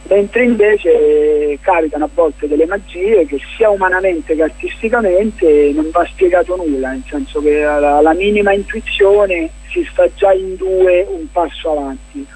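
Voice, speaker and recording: Guy on a radio interview, kinda high husky voice, rambles and hesitates a lot. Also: expressive, moderate pace, few pauses, a little mellow, poor audio. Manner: hesitant, rambling, filler-heavy explanations. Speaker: playwright and documentary filmmaker, interview guest. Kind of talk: casual radio interview, recounting projects.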